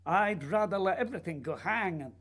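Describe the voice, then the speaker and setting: An actor plays a restless man of letters in a radio play, earnest and needy. His husky voice swings widely in pitch as he pleads in theatrical, literary phrases. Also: lively intonation, brisk, a bit bright. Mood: restless, brooding. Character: earnest, restless male writer, needy and intense.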